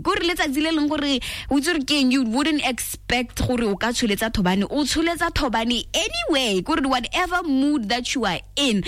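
A woman's voice: high-pitched, fairly bright tone, no room sound. Chatty woman radio DJ from Botswana. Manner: chatty, switching between languages mid-sentence. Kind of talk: casual radio chat reading listener messages. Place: radio studio.

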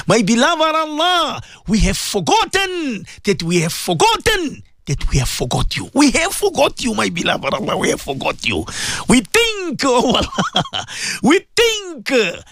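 A man's voice: high voice, gravelly, fairly bright tone, no echo, clean studio sound. A man with a South African accent delivering an impassioned Islamic prayer sermon, pleading emotionally and repeating phrases for emphasis. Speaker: preacher leading a supplication.

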